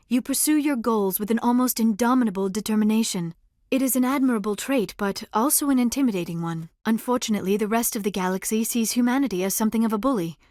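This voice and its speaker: A woman's voice: on the high side, no echo, studio quality, natural voice. A woman voicing a shy, earnest alien scientist in a video game, speaking in careful, formal sentences with precise diction. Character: earnest, shy alien female scientist, socially awkward, scholarly.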